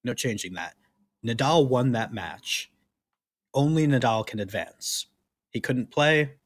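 A man's voice: medium pitch, lively intonation, measured pace, no room sound. A man co-hosting a podcast, discussing sports casually in a blunt, opinionated way. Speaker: podcast co-host.

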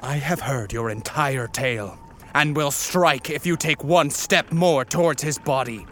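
A man's voice: mid-range voice, a little slow, fairly bright tone, slight background noise, lightly acted. A man playing a resolute, wary ship captain in a radio play, with a commanding, dramatic delivery. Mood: fierce, defiant.

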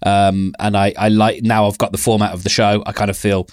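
A man co-hosting a podcast in casual conversation, giving his opinions in a measured, hesitant way. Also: lowish voice, level tone, no room sound, studio quality. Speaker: podcast co-host. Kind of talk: casual chat and reviewing.

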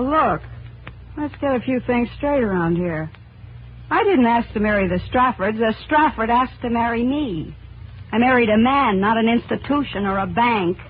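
A woman's voice: a little high, close to an everyday voice. An actress playing a proud, plainspoken young working-class woman in a 1940s radio drama, emotional and outspoken. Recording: tinny old radio broadcast with music.

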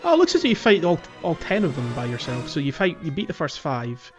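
A man's voice: a little high, wildly swooping pitch, quick pace, music in the background. Man chatting casually, explaining in stops and starts. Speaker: podcast co-host. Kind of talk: casual video game playthrough chat.

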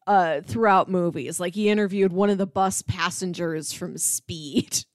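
A woman's voice: fairly low pitch, steady tone, unhurried, no echo, studio quality. A woman chatting casually and at length as a podcast co-host about films, peppering her talk with "like". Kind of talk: casual film discussion chat.

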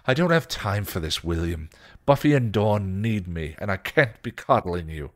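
A man's voice: deep voice, normal speed. A stern, exasperated protector in a storybook reading, acted out by a man. He speaks in a firm, formal way, scolding and emphatic, with principled patience wearing thin. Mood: scolding, seething.

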